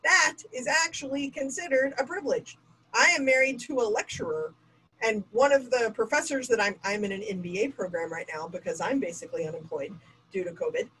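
Lively woman in her forties teaching online. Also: on the high side, a little fast, breathy edge, low-quality recording. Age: 40s. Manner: lively, candid, self-mocking asides. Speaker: diversity trainer. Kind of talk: webinar lecture. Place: home room with bookshelf and bokeh lights. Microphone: webcam video call.

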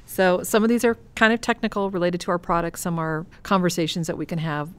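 A woman's voice: lowish voice, quick pace, clean tone. A woman hosting a podcast in casual chat and reading out listener questions. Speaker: podcast host.

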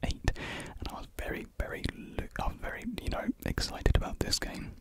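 Young British male, close-miked breathy whisper, casual and hesitant ASMR chat. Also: a little fast. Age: young adult. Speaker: ASMR video creator. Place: small indoor room at home. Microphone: large USB desktop mic, very close.

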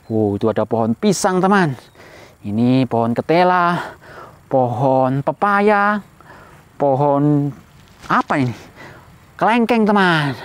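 A man narrates a toy hunt for young viewers in a high, lively voice. His pitch swings widely as he exclaims and asks playful questions. The sound is dry, with no room echo. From Indonesia. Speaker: host of a children's toy-hunt video channel.

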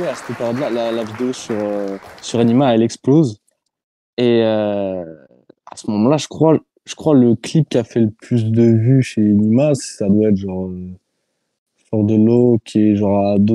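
Mellow-voiced French man on a video call. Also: medium pitch, normal speed, no room sound. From France. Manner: hesitant, many fillers and restarts, loose slang. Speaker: YouTuber guest. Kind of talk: casual interview answers.

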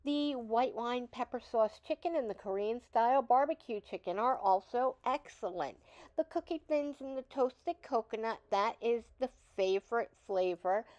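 A woman's voice: a little high, moderate pace, a little mellow, dry recording, dull audio. A middle-aged woman hosting a shopping vlog, chatting in an upbeat, enthusiastic voice-over. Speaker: vlog host.

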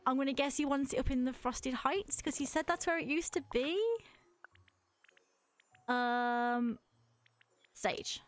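A woman's voice: high-pitched, steady tone, a little slow, pauses often, soft background music. Chatty woman streamer with a cold. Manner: chatty, self-talking, giggly asides. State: has a mild cold, a little tired. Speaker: livestreamer. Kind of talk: casual gameplay commentary.

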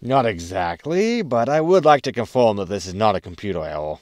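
A gruff military space pilot in charge, acted by a man, grumbles his way through a sci-fi comedy. His voice sits fairly low and is recorded very dry, with a sardonic edge. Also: very animated, fairly quick, no echo. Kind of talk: sci-fi comedy audio drama acting.

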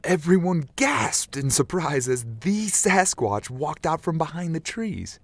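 A man narrating a scripted Christmas story as an animated storyteller. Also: a little high, measured pace.

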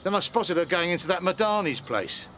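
An actor playing an uneasy, suspicious husband confiding in a friend in a radio soap. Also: male voice, sits high, expressive, a little fast, fairly bright tone, slight background noise. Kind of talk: radio soap opera acting. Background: ambience and birds.

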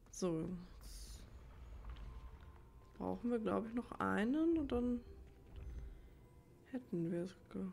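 A woman of medium pitch comments casually on a game she is playing. Her voice has a creaky edge, and she muses aloud as if half to herself. Faint noise sits behind her. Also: a little mellow. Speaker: let's play host.

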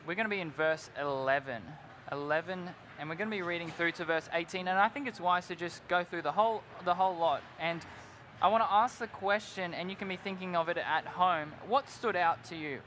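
A man's voice: high-pitched, normal speed, hardly any pauses, a bit bright. Young guy cohost, headset mic, big hall, upbeat earnest bible talk, a little noise behind. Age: young adult. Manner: earnest, upbeat, drops in "like" and "right?". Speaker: co-host of a youth Bible panel. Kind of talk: live devotional discussion. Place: large indoor convention hall stage with crowd.

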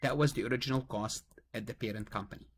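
A man's voice: medium pitch, quick pace, soft background music. A man lecturing in a tutorial style, working through a problem, repeating key phrases and posing questions that he then answers himself. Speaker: accounting lecturer.

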